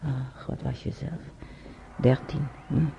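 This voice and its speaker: Reminiscing radio drama son: intimate, halting, deep fry and gravel, clear background noise. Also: male voice, steady tone. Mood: wry, musing. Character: wistful grown man recalling his wartime childhood. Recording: dull, noisy old radio recording.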